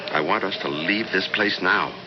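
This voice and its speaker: A man acting in a 1970s radio drama as a coldly calm, grimly resolved husband who has turned killer, speaking deliberately. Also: deep voice, natural voice. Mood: insistent, pleading. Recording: noisy, muffled 1970s radio broadcast.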